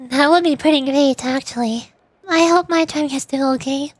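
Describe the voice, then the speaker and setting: High-voiced playful young woman streamer. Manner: playful, teasing, quick to laugh. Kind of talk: casual chat while voicing game lines.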